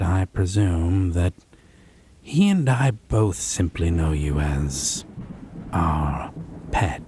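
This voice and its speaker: A man playing a possessive, wounded vampire in a roleplay audio drama, speaking with mocking refinement, theatrical phrasing and a breathy, husky voice. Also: low-pitched, darkish tone, a little hiss or hum, exaggerated voice. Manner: pedantic, sardonic, theatrical, lingering phrasing.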